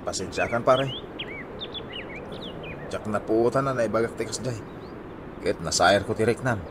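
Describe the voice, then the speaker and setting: A man's voice: on the low side, measured pace, close to an everyday voice. An actor playing a meek, embarrassed, jobless man in a comedy radio drama, speaking in a subdued, hesitant way. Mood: apologetic. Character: meek, embarrassed jobless friend asking for help. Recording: noisy ambience, birds behind.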